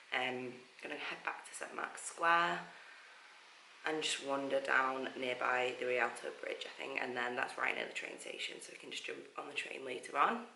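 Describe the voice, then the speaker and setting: A young woman chatting casually to camera as a travel vlogger, croaky from just waking up, rambling and giggly. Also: very deep voice, bright, forceful tone, reverberant, a little hiss or hum, rough recording.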